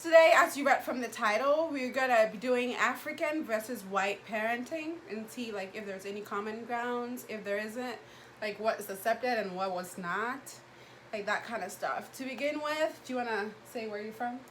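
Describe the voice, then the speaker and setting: A young woman from Ghana with a fairly high voice chats casually as host of a vlog. She tells stories in a slangy, animated manner. There is faint room noise around her. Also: moderate pace. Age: young adult. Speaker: vlog host asking questions. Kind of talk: casual chat with friends, storytelling.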